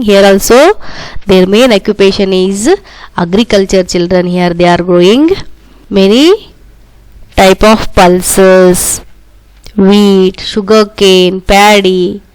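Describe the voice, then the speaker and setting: A woman's voice: medium pitch, no room sound, poor audio. A woman with a South Indian accent, a native Kannada speaker, teaching young schoolchildren in a repetitive, instructive way and often addressing them directly. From Karnataka, India. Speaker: primary school social studies teacher. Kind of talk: school lesson for children.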